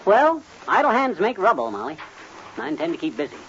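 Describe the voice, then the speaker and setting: An actor playing a boastful, bumbling middle-aged American husband in 1950s radio comedy, with folksy, pompous phrasing. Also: male voice, high-pitched, wildly swooping pitch, brisk, a bit bright, heavily acted. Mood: chatty confidence. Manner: folksy, tongue-twisting wordplay, pompous phrasing. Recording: dull, noisy 1950s radio recording.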